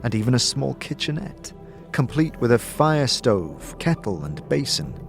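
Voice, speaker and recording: A man's voice: mid-range voice, sing-song, measured pace, soft background music. A man reading scripted fantasy narration in the second person, as a game master telling a story.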